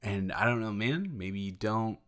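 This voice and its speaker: Man playing a sheepish best friend guy, deep-ish voice, slow rambly confession, awkward and protective, audio roleplay. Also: animated. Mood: tense, indignant. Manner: halting, rambling, awkwardly candid. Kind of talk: improvised audio roleplay, intimate conversational monologue.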